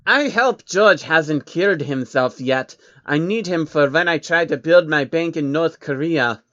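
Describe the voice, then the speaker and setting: A man's voice: on the high side, a little slow, a bit bright, dull audio, voice pushed up. A man voicing a menacing older male banker villain in a fan storybook reading, speaking with venom and threats. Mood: threatening.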